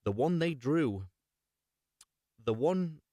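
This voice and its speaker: Male storybook reader, highly varied intonation, dry recording, informal and unrehearsed, expressive delivery. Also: slow, no echo. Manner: stumbles and corrects himself, expressive. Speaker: reader of fairy tales, host. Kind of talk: live unrehearsed storybook reading with chatty asides.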